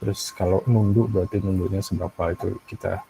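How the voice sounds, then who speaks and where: A man with an Indonesian accent teaching an animation software tutorial, thinking aloud in a halting way with frequent pauses. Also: low-pitched, steady tone, faint music behind, poor audio. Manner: halting, pausing often, frequent throat clearing. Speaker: animation instructor.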